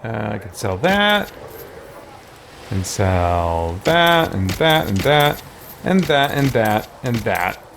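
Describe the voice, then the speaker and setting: A man's voice: on the low side, big pitch swings, takes it slowly, fairly bright tone. A man thinking aloud in casual game playthrough commentary, muttering to himself with mild exclamations. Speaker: gaming video host. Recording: clear background noise.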